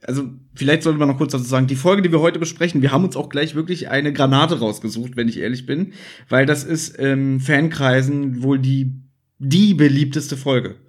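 A man's voice: medium pitch, moderate pace, breathy edge. Man chatting as a podcast host. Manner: discursive, adds asides and tag questions. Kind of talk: casual podcast chat, explaining.

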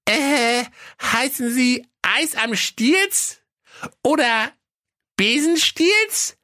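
Comic fairy tale heroine played by a woman: young, exasperated, dim, dramatic, lively pitch swings, close dry recording. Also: drawn-out words, dry recording, heavily acted. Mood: hesitant. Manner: stammering, exasperated.

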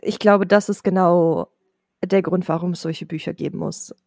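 Soft-spoken woman host, mellow low voice. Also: fairly even intonation, normal speed, creaky, no echo, studio quality. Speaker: podcast host, interviewer. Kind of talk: conversational podcast interview.

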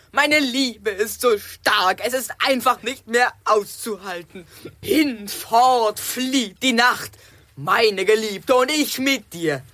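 A man's voice: sits high, pushed and bright, dry recording, exaggerated voice. A man playing a cocky teenage boy in a children's audio drama, with slurred syllables and a natural, untrained delivery. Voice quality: slurred diction, swallowed syllables. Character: older boy, cocky teenage crush of a witch.

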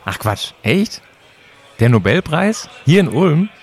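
A man's voice: on the high side, very animated, slow pace. A man co-hosting a semi-scripted audio play in a casual, colloquial way. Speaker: podcast co-host and exhibition presenter.

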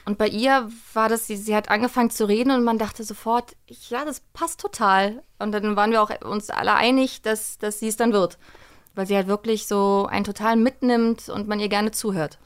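A woman's voice: medium pitch, fairly even intonation, moderate pace, smooth. A woman chatting casually and informally as an interview guest, talking like someone who works in voice acting. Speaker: interview guest, voice actor and audio drama director.

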